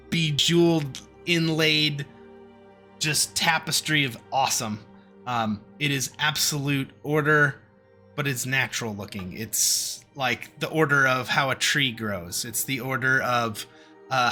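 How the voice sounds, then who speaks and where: A man's voice: mid-range voice, pushed and bright. A man acting as game master, narrating a tabletop game in a descriptive, conversational way with casual comparisons.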